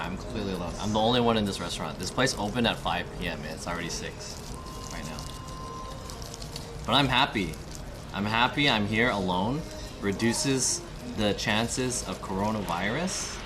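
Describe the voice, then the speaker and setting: A man's voice: fairly low pitch, expressive, moderate pace. A man in his thirties chatting casually to viewers on a live stream while eating alone in a restaurant, rambling, swearing and often asking his audience questions. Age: 30s. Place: restaurant, indoors. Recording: music playing behind the voice.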